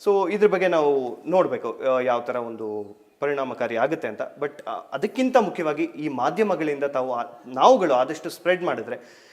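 A man's voice: on the high side, animated, normal speed. A man with an Indian accent from Karnataka, giving emphatic explanations as an expert guest and mixing in English terms. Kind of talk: explanatory interview answers.

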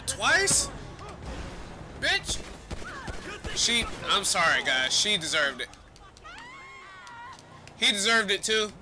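A man's voice: fairly high pitch, noisy background. A young man with a congested voice giving loose, rambling casual commentary over a video game, swearing now and then. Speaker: gaming commentator.

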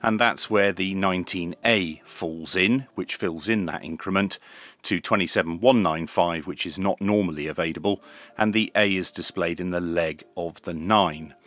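Low-voiced man, measured reviewer. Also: a bit bright. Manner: measured, methodical step-by-step explaining. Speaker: presenter of radio equipment reviews. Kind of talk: product review and demonstration. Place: small indoor home radio workshop.

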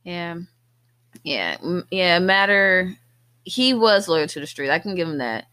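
A woman's voice: on the low side, big pitch swings, measured pace, bright, forceful tone, clear, resonant tone, no echo. Casual woman podcaster, dry close sound. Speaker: podcast co-host. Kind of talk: casual movie discussion.

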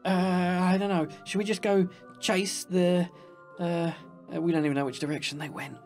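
A man's voice: sits high, fairly even intonation, normal speed, darkish tone. Sensible but exasperated young hero male performer, blunt everyday delivery, video game roleplay, light music underneath. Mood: exasperated, worried. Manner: exasperated, blunt, repeats himself.

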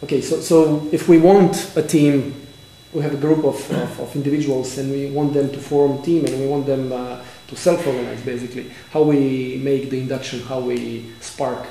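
A man's voice: mid-range voice, level tone, moderate pace, hardly any pauses, mellow, slight background noise. A man interviewing a guest at a conference, asking hesitant questions with fillers. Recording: echoey room with faint ambience.

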